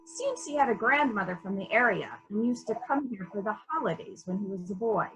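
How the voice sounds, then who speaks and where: A woman's voice: mid-range voice, expressive, a little fast, fairly bright tone, natural voice, rough recording. A woman playing a probing, methodical female police detective in a dramatic reading of a murder mystery, musing and questioning as she goes. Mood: skeptical. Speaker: actor in a library's dramatic reading.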